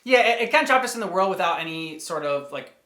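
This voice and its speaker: A man co-hosting a casual reaction show, chatting about game cutscenes in a halting, rambling way as he thinks aloud. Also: high voice, expressive, sharp tone. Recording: echoey room.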